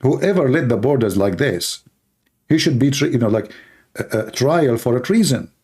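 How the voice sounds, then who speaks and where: Mellow-voiced Arabic-accented man, blunt live streamer. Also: mid-range voice, expressive, normal speed, breathy edge. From Middle East. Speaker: live stream host, religious apologist. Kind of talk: informal live stream talk.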